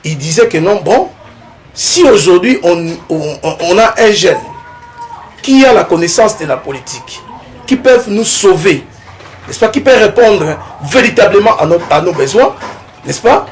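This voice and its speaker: A young man from the Kongo Central region of DR Congo, a political candidate giving a TV interview, talking in a lively way and often tagging his points with a rising question. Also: high-pitched, unhurried, a little hiss or hum. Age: young adult. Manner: animated, keeps adding "n'est-ce pas?", rising tone on points.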